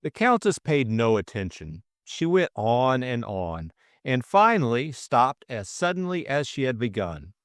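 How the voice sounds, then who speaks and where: A man's voice: medium pitch, very animated, unhurried, hardly any pauses, no echo. A man reading scripted fiction as an audiobook narrator, close on the mic.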